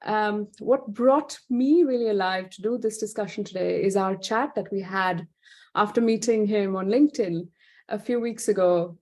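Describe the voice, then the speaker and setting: A woman's voice: medium pitch, darkish tone. A woman hosting a podcast, introducing her guest in a chatty way with frequent fillers. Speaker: podcast host. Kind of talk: interview introduction.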